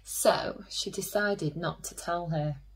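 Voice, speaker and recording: A woman who teaches and hosts story time, reading a picture book aloud to children in an expressive way, drawing out key words and dropping into hushed asides. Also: on the low side, low-quality recording. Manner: slow, animated storytelling with drawn-out emphasis and hushed asides.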